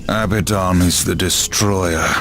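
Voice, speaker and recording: A man playing a grim, vengeful apocalyptic horseman in a video game, speaking in a stern, deliberate, menacing manner. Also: low-pitched, steady tone, a bit bright, soft background music. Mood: grave, insistent. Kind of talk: dramatic video game cutscene acting.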